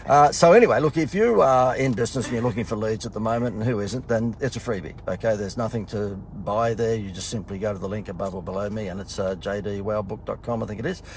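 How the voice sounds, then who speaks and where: A man, a marketing consultant chatting casually and jokingly in a promo vlog, a little husky from talking too much. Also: lowish voice, fast, few pauses, slight background noise. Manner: rambling, joking, many fillers and restarts. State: slightly husky from talking a lot. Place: in a car.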